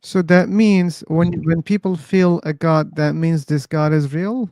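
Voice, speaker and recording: Male stream host, gravelly and mellow, pushes guests with pointed questions, dry sound. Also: on the high side, steady tone, measured pace, no echo. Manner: probing, teasing questioner. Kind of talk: casual debate chat. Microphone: streaming setup.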